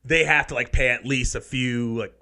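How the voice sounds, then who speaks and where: A man's voice: mid-range voice, steady tone, moderate pace, pushed and bright. A man who is a comedian, chatting as a podcast guest, upbeat and laughing a lot. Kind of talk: casual chat.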